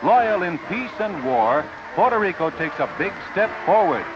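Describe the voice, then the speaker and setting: A man's voice: high voice, sing-song, normal speed. An American man reading scripted 1940s newsreel narration in a formal, declamatory announcer style. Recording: dull 1940s newsreel soundtrack. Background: music.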